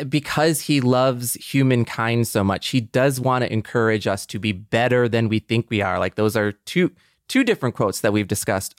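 A man's voice: fairly low pitch, smooth, dry recording, clean studio sound. A man co-hosting a podcast, introducing and discussing its subject in a conversational way. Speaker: podcast co-host.

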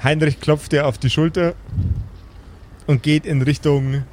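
Halting male game master, slightly high voice. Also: steady tone, a little mellow. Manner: halting, repeats words while improvising. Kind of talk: improvised tabletop game narration.

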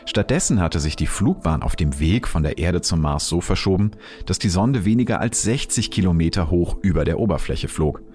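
Deep-voiced male science narrator. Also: expressive, normal speed, a little mellow, faint music behind. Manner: clear, explanatory.

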